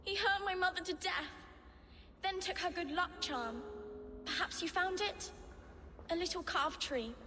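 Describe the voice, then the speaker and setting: High, bright orphan child. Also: female voice, a little slow, long pauses, in a large hall, a little hiss or hum, full character voice. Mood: earnest sadness. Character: small orphaned child, earnest and plucky. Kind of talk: video game cutscene acting.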